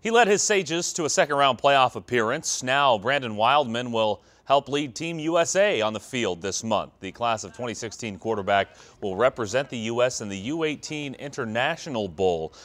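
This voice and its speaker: A man's voice: mid-range voice, animated, normal speed, hardly any pauses, a bit bright. An American man reading scripted sports news as a television anchor.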